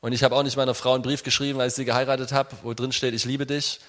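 A man preaching an informal sermon in an animated, conversational way, speaking directly to his listeners. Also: mid-range voice, rapid-fire. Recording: reverberant hall sound.

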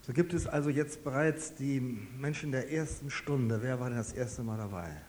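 Older German pastor in reverberant hall. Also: male voice, mid-range voice, moderate pace. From Westphalia, Germany. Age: 60s. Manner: conversational, personal, lively emphasis. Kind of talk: sermon to a small gathering. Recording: reverberant hall with faint hiss.

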